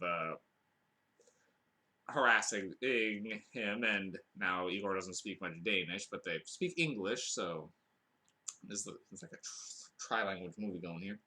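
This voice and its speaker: Lively, bright-voiced man rambling through a review. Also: lowish voice. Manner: rambling, with frequent fillers and restarts; dry, wry asides. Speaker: podcast host reviewing a film. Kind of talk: casual spoken review.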